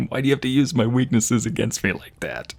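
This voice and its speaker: A man playing a doting, tender husband in an intimate ASMR roleplay, speaking softly and often slipping into breathy whispers. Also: medium pitch, animated, normal speed. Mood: playful, flustered. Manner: soft, breathy, lingering delivery dropping into whispers. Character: doting, tender husband comforting his sweetheart.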